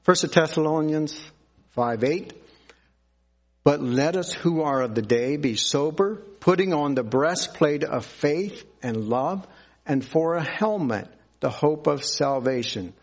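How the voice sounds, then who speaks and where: A man preaching a sermon, reading scripture aloud and explaining it in a deliberate, earnest way. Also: on the high side, animated.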